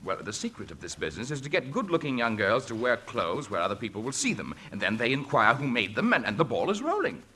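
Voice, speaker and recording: A man playing a fussy, self-important society gentleman in affected old-time radio style, on a vintage broadcast recording. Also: medium pitch, big pitch swings, fast talker, hardly any pauses, slight background noise. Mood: earnest, coaxing. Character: fussy, snobbish Fifth Avenue society gentleman.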